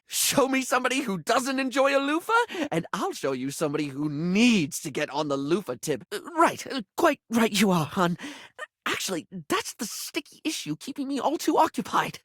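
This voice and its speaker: A man's voice: sits high, fairly bright tone, no room sound, studio quality. A man voicing a flamboyant, scatterbrained, affectionate male character in a dating video game, chatty, rambling and effusive. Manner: chatty, rambling, effusive, stammering when flustered.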